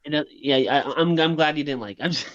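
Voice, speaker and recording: Dull-sounding, higher-voiced man chatting on a podcast. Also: quick pace, a crowd in the background. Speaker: podcast co-host. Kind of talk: casual chat, joking anecdotes.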